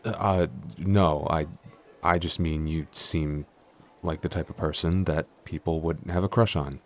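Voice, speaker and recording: A man's voice: rumbling low, steady tone, unhurried. A man playing a shy, clumsy giant in a roleplay audio, stammering, hesitant and constantly apologetic. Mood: flustered, shy. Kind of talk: intimate roleplay audio acting.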